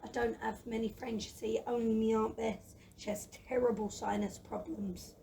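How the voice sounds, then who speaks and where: A woman in a children's audio play voicing a gentle, lazy, timid dragon who chatters in a plaintive, excitable, wordy way. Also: a little high, normal speed, creaky. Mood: chatty, confiding. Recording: noisy, slightly distant microphone.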